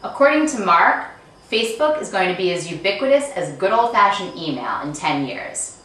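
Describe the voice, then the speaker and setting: A woman delivering a chatty, scripted promotional pitch as an author, with a breezy, playful manner. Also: very animated, normal speed, fairly bright tone. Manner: breezy, playful, asks and answers rhetorical questions. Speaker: author promoting her book and talks. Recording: very echoey room, distant mic, faint noise.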